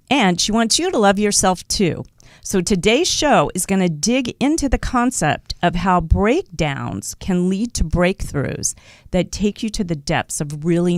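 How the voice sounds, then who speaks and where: A woman's voice: lowish voice, expressive, measured pace, no echo, studio quality. A woman with an American accent hosting a conversational interview show. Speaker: talk show host. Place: studio in Hollywood.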